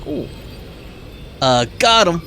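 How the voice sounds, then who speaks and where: Very animated male gamer reacting casually. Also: high-pitched, takes it slowly, gravelly, slight background noise. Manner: slangy, informal. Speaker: gaming streamer. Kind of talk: casual reactions while playing a game. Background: game music.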